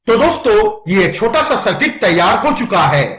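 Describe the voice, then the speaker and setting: A man's voice: sits high, sing-song, normal speed, a bit bright, reverberant. A man giving a methodical, instructional step-by-step narration for an electronics tutorial.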